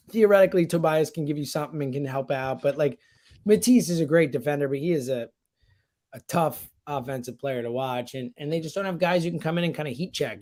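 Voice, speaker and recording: A man's voice: fairly high pitch, a little mellow. A man giving blunt, opinionated sports analysis as a guest commentator, in casual, slangy and emphatic talk. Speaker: sports radio producer and host, guest commentator.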